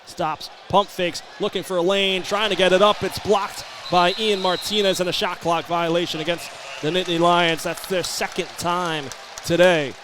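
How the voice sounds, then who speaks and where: An American man doing brisk, descriptive live basketball play-by-play that can rise to excited calls. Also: high voice. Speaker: play-by-play sports announcer. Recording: live arena broadcast, background ambient noise. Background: basketball game ambience.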